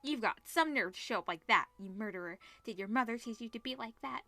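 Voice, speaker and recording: Woman playing a taunting teenage school bully, bright-voiced. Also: on the high side, lively intonation, quick pace, dry recording, faint music behind. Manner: taunting, sneering. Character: jeering teenage schoolboy bully, mocking and crude.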